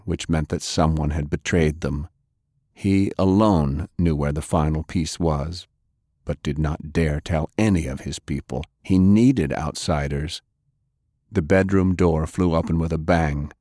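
A man narrating dramatic fiction as an audiobook reader, with a grave, deliberate delivery. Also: extremely low pitch, fairly even intonation, warm tone, no room sound, studio quality.

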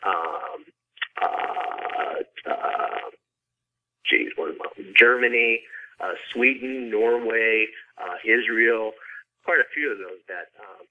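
Man, middle-aged, lowish voice, thin telephone sound, casual rambling guest. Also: slow pace, slight background noise. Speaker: podcast guest, author and consultant. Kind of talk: casual conversational interview answers. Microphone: phone or remote call. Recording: narrow, tinny phone-line sound.

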